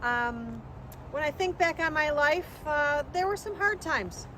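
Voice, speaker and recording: A woman portraying a devout immigrant of the 1800s recalling her life. Her voice is high, her telling plain and halting, like everyday conversation. There is faint outdoor noise behind her. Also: expressive, pushed and bright, exaggerated voice. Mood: reflective. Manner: hesitant, plain, conversational reminiscing. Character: Swedish-born immigrant widow's daughter, devout Lutheran woman looking back. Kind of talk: first-person historical reenactment. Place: outdoors in a cemetery.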